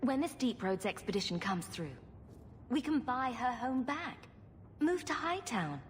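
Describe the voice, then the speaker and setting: An actress playing a blunt, dry-witted young heroine. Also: female voice, on the high side, very animated, measured pace. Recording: game audio with music.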